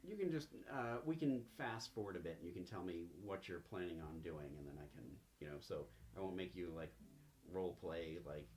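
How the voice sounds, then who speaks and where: A man speaking casually and haltingly as the game master of a tabletop game. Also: deep voice, a little mellow, slight background noise.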